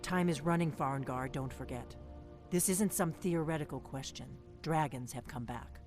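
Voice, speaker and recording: A woman's voice: sits low, normal speed, a little mellow, lightly acted. An actress plays a secretive female agent in a fantasy game. She sounds serious, curt and businesslike, with faint music in the background. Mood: impatient. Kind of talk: fantasy video game acting.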